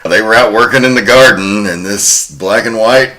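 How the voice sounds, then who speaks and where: Male host, lowish pitch, crisp bright tone, lively and profane. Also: moderate pace. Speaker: podcast host. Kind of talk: casual podcast chat.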